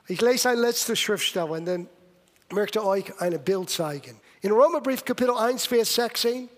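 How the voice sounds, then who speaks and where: High-voiced American man preaching in German. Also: animated, normal speed. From United States. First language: English. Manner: emphatic, swinging between loud bursts and hushed lines; German with non-native grammar and English slips. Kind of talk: sermon. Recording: long hall reverb.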